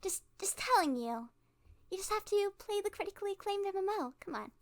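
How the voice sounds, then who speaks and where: Woman playing a high-pitched giddy kidnapper girl. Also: animated. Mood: eager pitching. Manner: rambling, halting, giggly, playful. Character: cute giddy awkward kidnapper girl, cheerfully menacing. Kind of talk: ASMR roleplay, casual rambling monologue.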